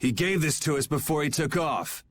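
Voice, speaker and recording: A man's voice: fairly high pitch, lively intonation, normal speed, a little hiss or hum, close to an everyday voice. An actor playing a blunt, sarcastic young swordsman in a game cutscene. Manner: dry, sarcastic, laid-back. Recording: game audio with music behind.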